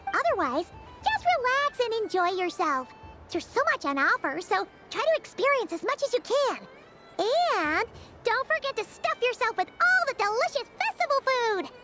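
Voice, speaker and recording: A woman voicing a small, chirpy, bossy childlike girl companion in a video game, squeaky and eager. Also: very animated, normal speed, over music. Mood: chatty, encouraging.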